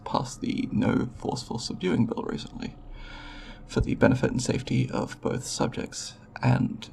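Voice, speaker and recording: A man playing a nervous, formal alien researcher in an ASMR audio roleplay, with a creaky voice and precise, clinical, hesitant wording. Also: mid-range voice, darkish tone, a little hiss or hum. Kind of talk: ASMR roleplay, recorded report then reassurance.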